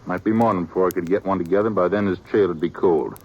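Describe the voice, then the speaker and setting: A man's voice: sits low, fast, a little mellow, slightly rough, highs cut off. An actor playing a terse, practical lawman in a 1950s radio western, speaking in short clipped western phrases. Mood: matter-of-fact. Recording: noisy old radio recording.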